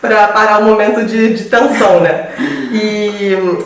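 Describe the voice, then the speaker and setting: A woman's voice: medium pitch, steady tone, recorded from a distance. Hesitant Brazilian woman in echoey room. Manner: halting, repeats words while searching for phrasing. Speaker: guest. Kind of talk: casual storytelling among friends.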